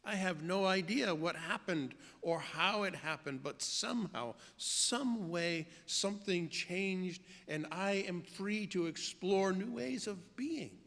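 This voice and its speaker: Slow, declamatory man reading in echoing church. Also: high-pitched, lively intonation, fairly bright tone. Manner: deliberate, declamatory, with drawn-out phrases. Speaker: minister reading at a church service. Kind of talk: solemn dramatic liturgical reading. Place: church sanctuary. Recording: long church hall reverb.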